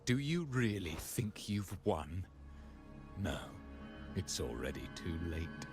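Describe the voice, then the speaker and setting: An actor playing a fanatical, smug villainous cultist in a video game, declaiming in a grandiose, menacing manner. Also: male voice, low voice, sing-song, slow, over music, heavily acted. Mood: gloating. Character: fanatical villainous cultist, smug, theatrical, devout to dark god.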